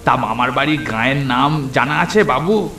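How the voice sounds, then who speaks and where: A man playing a folksy, deferential village tea-stall keeper in a horror cartoon, with a creaky voice. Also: mid-range voice, moderate pace, bright, forceful tone, roomy sound, faint music behind. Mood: chatty, curious. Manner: folksy, deferential, stammers when afraid. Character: chatty village tea-stall keeper who turns frightened. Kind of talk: horror cartoon story acting.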